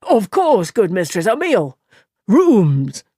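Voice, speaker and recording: A woman's voice: mid-range voice, huge pitch swings, slow, mellow, breathy edge, no room sound, clean studio sound, heavily acted. A woman voicing a chattering, easily flustered village man in an audiobook reading. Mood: fussing. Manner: breathless gossiping, stammering when nervous.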